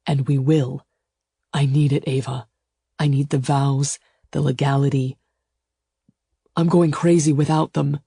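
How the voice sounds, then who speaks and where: Woman voicing a low, growling, possessive male lover. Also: steady tone, moderate pace, creaky, dark tone, breathy edge, no echo, clean studio sound, exaggerated voice. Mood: fierce, urgent. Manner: emphatic, clipped, insistent. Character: intense, demanding male lover, fierce and possessive. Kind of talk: audiobook romance character dialogue.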